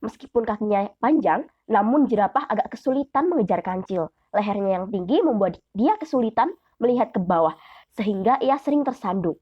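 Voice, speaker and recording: A woman's voice: medium pitch, slightly rough, dry recording, highs cut off. A young woman reading a scripted children's fable as storyteller. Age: young adult. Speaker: storyteller, student.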